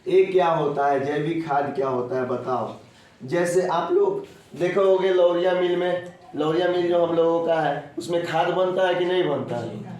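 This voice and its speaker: A man with a sore throat, teaching school children in a didactic way, repeating points and quizzing them. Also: on the high side. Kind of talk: classroom geography lesson for school children. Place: echoey room, teaching in person. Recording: very echoey room, distant mic.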